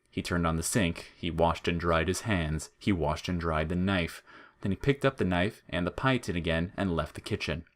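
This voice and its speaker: A man narrating scripted audio fiction in a measured storytelling manner. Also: low-pitched, fairly bright tone.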